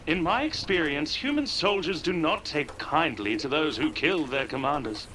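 A man voicing a literal-minded assassin robot in a video game, precise, formal and deadpan in wording. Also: on the high side, normal speed, low-quality recording. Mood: matter-of-fact.

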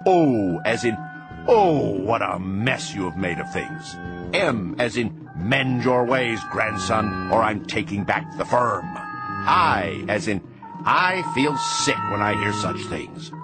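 A man voicing a tough-talking, stingy old grandfather mouse in a storybook, shouting and domineering, with drawn-out emphasis. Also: lowish voice, sing-song, creaky, loud music behind the voice, full character voice. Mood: scolding, emphatic. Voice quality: shrieking, shouting.

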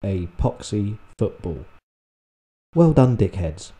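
A British man giving a scripted review narration in a deadpan, sardonic, sweary manner. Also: fairly low pitch, takes it slowly, husky. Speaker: film reviewer.

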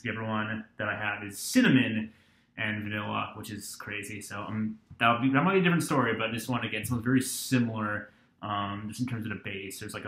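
A young man in his twenties talks through a beer tasting in a loose, rambling way, full of ums and false starts. His voice sits a little low. The sound comes off a webcam mic in a small room with a noticeable echo. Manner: relaxed, rambling, many ums and restarts. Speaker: beer reviewer. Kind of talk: casual beer review vlog. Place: small dorm-like room indoors.

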